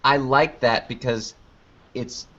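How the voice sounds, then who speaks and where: A man's voice: mid-range voice, steady tone, takes it slowly, pushed and bright. A man giving casual, rambling interview answers as a guest, informal and often interrupting himself mid-sentence. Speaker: interview guest, podcast creator. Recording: dull lo-fi online call audio.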